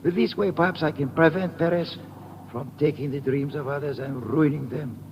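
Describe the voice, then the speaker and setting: A man's voice: fairly high pitch, fairly even intonation, moderate pace, lightly acted. An actor playing a sick, weary old man, plaintive and earnest, in 1950s radio drama style. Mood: resolute, frail. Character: sick, weary, self-sacrificing old man dreaming of America. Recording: muffled old radio recording, reverberant, noisy.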